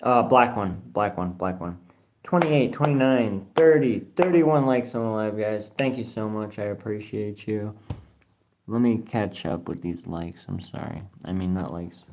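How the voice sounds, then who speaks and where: A man's voice: on the low side, unhurried, darkish tone, a little hiss or hum. A man hosting a casual live stream from his phone, reading viewer comments aloud in a rambling, slangy way, swearing casually and spelling names out letter by letter. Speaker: livestream host.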